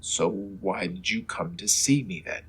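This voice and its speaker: A man playing an earnest, matter-of-fact superhero disguised as a mild-mannered reporter in an old-time radio comedy, with a husky voice. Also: fairly low pitch, expressive, unhurried, a bit bright, heavily acted, rough recording. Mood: earnest, concerned. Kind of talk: old-time radio serial parody acting.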